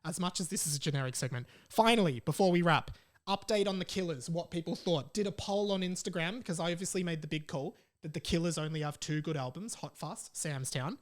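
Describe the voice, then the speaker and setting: A man's voice: a little high, fairly quick. A young adult man with an Australian accent chats casually and informally as he hosts his solo podcast. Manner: informal and chatty, reads out listener messages. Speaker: podcast host.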